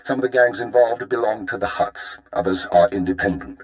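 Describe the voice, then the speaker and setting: Male actor, stern intelligence chief, video game briefing, lowish voice, measured, formal. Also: lightly acted, poor audio. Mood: stern, instructive. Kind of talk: scripted video game dialogue.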